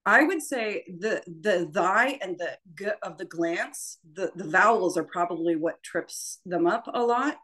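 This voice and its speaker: Informal, tentative woman teacher, lower-pitched voice. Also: lively intonation, unhurried, few pauses, fairly bright tone. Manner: tentative, self-deprecating, often trailing off. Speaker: voice teacher leading a workshop.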